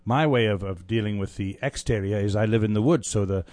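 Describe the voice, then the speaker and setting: Low-voiced man in casual conversation, close dry sound. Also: a little fast, a little mellow, no echo. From grew up in Africa.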